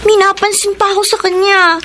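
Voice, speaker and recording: Casting: young Filipino granddaughter, radio drama, very high piercing bright voice, earnest, loyal, youthful. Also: female voice, little pitch movement, brisk, slight background noise. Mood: worried.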